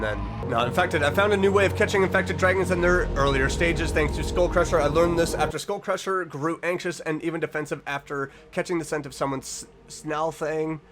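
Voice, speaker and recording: A man with a slightly high voice reads aloud like a reaction host, mixing the text with casual comments. He now and then trips on a word and fixes it. Music plays behind him. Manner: stumbles over words and corrects himself while reading. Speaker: online reaction video host.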